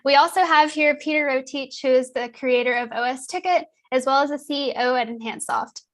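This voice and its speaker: A woman's voice: high-pitched, fairly quick. A woman joining a live stream as a guest, explaining her company in an upbeat, friendly way with a few ums. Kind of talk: casual live-stream introduction.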